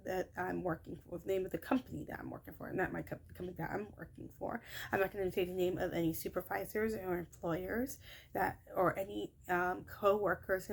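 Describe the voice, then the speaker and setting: A young woman who works in childcare recaps her day in a casual vlog, her high, shrill voice rambling with many fillers and self-corrections. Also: fairly even intonation, a little fast, gravelly, a little hiss or hum. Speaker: childcare worker.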